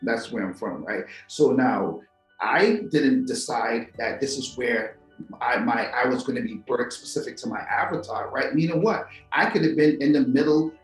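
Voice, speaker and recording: A man's voice: medium pitch, moderate pace, reverberant, faint music behind, low-quality recording. A man from Trinidad and Tobago hosts a talk show, musing to viewers with rhetorical questions and repeated words. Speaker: podcast host. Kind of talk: philosophical monologue to viewers.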